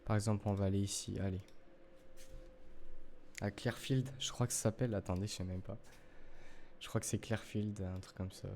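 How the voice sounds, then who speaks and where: A man's voice: fairly low pitch, little pitch movement, moderate pace, long pauses, a little mellow, a little hiss or hum. A man talking through a gameplay tutorial in casual French, rambling with many fillers and self-corrections as he addresses his viewers. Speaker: gaming youtuber.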